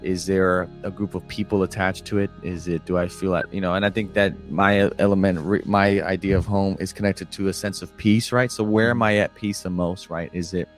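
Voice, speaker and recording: Deep-voiced reflective man from South Texas. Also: fairly even intonation, a little fast, hardly any pauses, faint music behind. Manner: thoughtful, adds "right?" after phrases. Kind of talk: reflective casual conversation.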